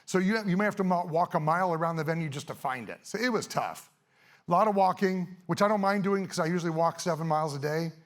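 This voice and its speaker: A middle-aged man, a little hoarse, giving a fast, energetic, casual talk to a live audience on an event stage. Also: on the high side. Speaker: event speaker, sports photographer.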